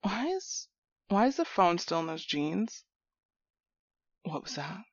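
Woman acting as hot-headed yet loyal young female friend, audio drama, profane slang, husky breathy voice, slightly muffled home recording. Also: lowish voice, sing-song, takes it slowly, dry recording, exaggerated voice. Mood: muttering, suspicious. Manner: slangy, profane, talking directly to a buddy. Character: foul-mouthed, hot-tempered but protective young friend, finding shrunken buddy. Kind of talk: immersive one-sided audio roleplay drama.

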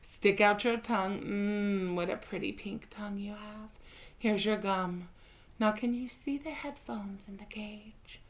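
A woman performing a scripted audio roleplay in a slow, teasing, commanding manner. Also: medium pitch, muffled recording.

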